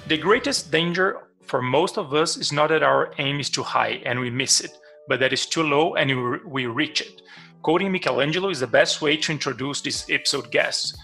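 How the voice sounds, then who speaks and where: Man, podcast host, gravelly, stop-start questions. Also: a little high, sharp tone, faint music behind. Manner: halting, restarts questions. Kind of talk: interview podcast.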